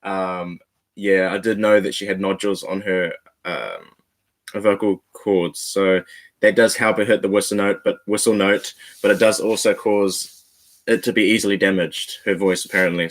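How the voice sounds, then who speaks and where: A man hosting a reaction video, talking straight to his viewers in a laid-back, slangy way. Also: lowish voice. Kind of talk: casual reaction commentary.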